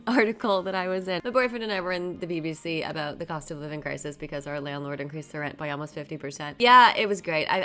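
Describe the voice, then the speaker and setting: A woman's voice: deep voice, fairly bright tone. A young Canadian woman with a stuffy nose from a cold, talking casually to camera in a rambling, chatty vlog, with fillers and small laughs. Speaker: vlogger.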